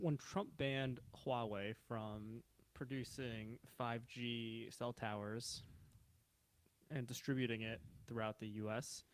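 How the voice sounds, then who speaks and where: A man born in China whose first language is Mandarin, co-hosting a podcast in relaxed, profane banter. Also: mid-range voice, no echo. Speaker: podcast co-host. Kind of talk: casual podcast chat.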